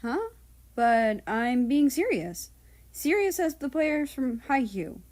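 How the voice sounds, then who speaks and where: Female reader as playful, excitable young woman in a story, eager, pitched high and loud. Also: takes it slowly, heavily acted. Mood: confused, earnest. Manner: exclaiming, eager. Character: excitable, playful young woman named Japan, a prankster friend.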